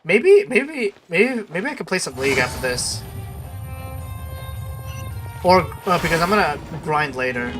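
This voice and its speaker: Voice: mid-range voice, very animated, a little slow, long pauses. A game streamer giving casual commentary, muttering half-thoughts to themselves. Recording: loud game music over voice. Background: loud music with plucked guitar.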